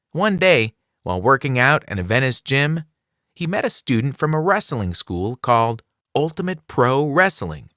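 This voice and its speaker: A man narrating a scripted children's non-fiction book in an expressive, clearly enunciated storytelling delivery. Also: medium pitch, no echo, clean studio sound. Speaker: audiobook narrator.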